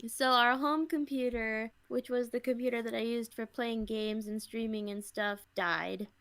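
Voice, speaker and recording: A woman's voice: fairly high pitch, steady tone, a little slow, fairly bright tone, no echo. A young woman chatting casually on a podcast.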